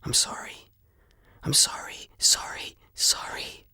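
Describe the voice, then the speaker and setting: A man's voice: slow pace, pushed and bright, no room sound. A man voicing an anguished, lovestruck boy in a storybook, with melodramatic, emotional delivery. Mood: whispered remorse. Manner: melodramatic, often whispering. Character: anguished, lovestruck red-haired boy, dramatic and remorseful.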